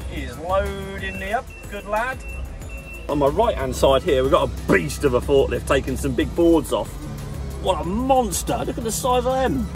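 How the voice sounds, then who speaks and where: A man's voice: high voice, lively intonation, moderate pace, music in the background. A man, chatting casually to viewers as he drives his truck and loads it in a yard. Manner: chatty, playful, talks to viewers as he works. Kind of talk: casual vlog commentary while driving and loading. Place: truck cab and open loading yard.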